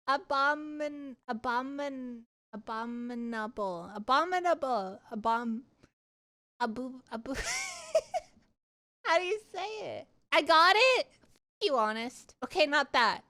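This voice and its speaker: Girl streamer w a high voice, chatting to viewers, animated and giggly, swears a bit, super casual. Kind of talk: casual chat and personal storytelling.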